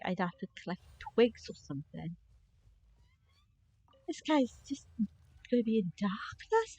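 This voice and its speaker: A woman's voice: a little high, husky. A woman giving casual live gameplay commentary, chatty and informal, often muttering reactions to herself. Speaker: game streamer. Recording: slightly dull stream mic.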